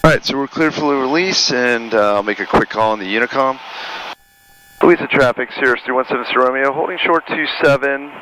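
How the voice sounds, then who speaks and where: A man's voice: mid-range voice, brisk. Matter-of-fact male pilot over cockpit hum. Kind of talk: cockpit vlog, talking through procedures and making radio calls. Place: small airplane cockpit. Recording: noisy small-plane cockpit, engine hum.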